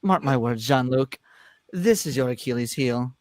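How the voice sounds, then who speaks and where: A performer plays a taunting, all-powerful alien trickster in an amateur script read. The delivery is theatrical and mock-wounded, with a mid-pitched voice that swoops widely. The sound is dry and close. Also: expressive, moderate pace, dry recording, lightly acted. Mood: smug warning.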